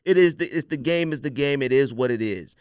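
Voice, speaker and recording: A man's voice: mid-range voice, a little fast, darkish tone, smooth, dry recording, studio quality. A man hosting a casual podcast, giving a blunt, emphatic, opinionated rant peppered with rhetorical questions. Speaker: podcast host.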